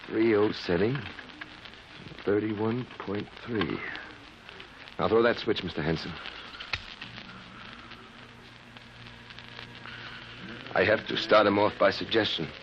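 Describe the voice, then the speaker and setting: An actor playing a calm, commanding scientist in a 1950s radio drama, with a measured, authoritative and clinical manner. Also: male voice, lowish voice, natural voice. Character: calm, commanding male scientist running a mind-probe experiment. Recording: muffled, noisy old radio recording.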